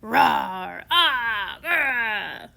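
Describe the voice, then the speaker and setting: A woman voicing a menacing male beast in a storybook, roaring out loud, emphatic threats. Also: a little high, very animated, drawn-out words, vocal fry, exaggerated voice.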